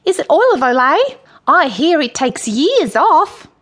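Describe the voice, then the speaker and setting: Lady doing a nervous little puffer fish kid in a storybook, really high voice, fumbly, sucking up. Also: sing-song, a little slow, fairly bright tone, exaggerated voice. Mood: eager flattery. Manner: fumbling, trembling, over-polite. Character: nervous, flattering young boy pufferfish, eager to please. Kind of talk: children's storybook character voice.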